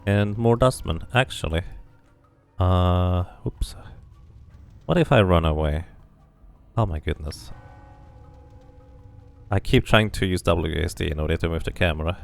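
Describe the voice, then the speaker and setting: A man's voice: deep voice, unhurried, creaky, pauses often, faint music behind. A man giving casual game commentary, thinking aloud and reading bits of game text out as he plays. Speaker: game streamer doing a playthrough.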